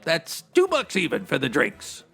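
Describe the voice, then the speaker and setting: A breezy hustler who manages a strip club in a radio detective comedy. His voice is high for a man and gravelly, swooping about as he rolls out hipster slang and florid big words. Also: wildly swooping pitch, unhurried, fairly bright tone, full character voice. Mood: chatty, pleased. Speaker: actor in a comedy audio drama. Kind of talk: comedic old-time radio detective acting.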